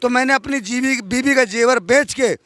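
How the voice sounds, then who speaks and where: Bright, high-voiced bitter middle-aged man. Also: moderate pace, no room sound. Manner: emphatic, bitter. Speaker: party worker being interviewed. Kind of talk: aggrieved street interview.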